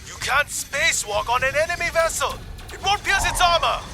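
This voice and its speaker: Brash alien comrade: very high, wildly animated, blustering shouts with a gravelly edge. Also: male voice, a little fast, full character voice. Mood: alarmed. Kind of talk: audio drama acting. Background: music score under the voice.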